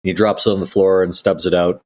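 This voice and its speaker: A man's voice: low-pitched, flat delivery, a little fast. A man playing a tabletop roleplaying game, narrating what his character does in an improvised way.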